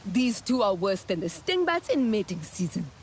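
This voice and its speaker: A woman's voice: medium pitch, very animated, normal speed, slight background noise, lightly acted. A woman playing an exasperated young alien clanswoman in a video game, dramatic, emphatic and given to complaint. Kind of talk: video game dialogue acting.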